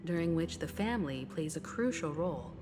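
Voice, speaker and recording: Composed young female companion, game role, perceptive, slightly low voice over music. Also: animated, a little fast. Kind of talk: video game voice acting.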